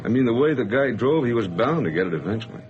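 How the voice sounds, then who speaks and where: Male actor as a glad-handing sales-manager type, animated delivery, radio play. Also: medium pitch, quick pace, dull audio, a little hiss or hum, natural voice. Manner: hearty, then somber. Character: hearty old acquaintance, sales manager, adult man. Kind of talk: vintage radio drama acting.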